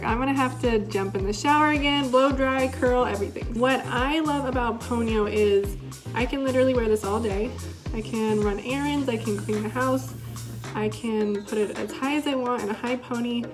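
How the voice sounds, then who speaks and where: A young woman giving a casual, informal demonstration in a chatty way, recorded indoors in a living room. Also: a little high. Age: young adult. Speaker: product presenter. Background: music in the background.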